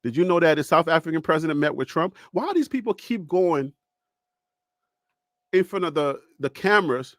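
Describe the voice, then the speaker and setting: A man from Liberia, hosting a live call-in show in a preachy, animated way and reading the chat. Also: fairly high pitch, moderate pace, no echo, clean studio sound. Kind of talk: casual livestream talk.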